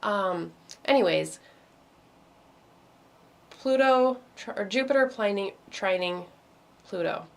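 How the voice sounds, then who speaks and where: A woman hosting an online astrology meditation, talking casually with many ums and restarts, her voice going hoarse. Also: mid-range voice, animated, slow, long pauses. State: losing her voice. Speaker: meditation host. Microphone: video call.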